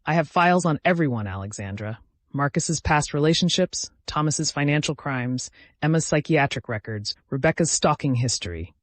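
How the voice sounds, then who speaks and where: A vengeful woman read by a man in an audiobook drama, deliberate and menacing. She is bitter and tightly controlled, in a slightly raised male register with a very dry sound. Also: a little high, expressive, no room sound, close to an everyday voice. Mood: cold menace. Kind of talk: dramatic audiobook character reading.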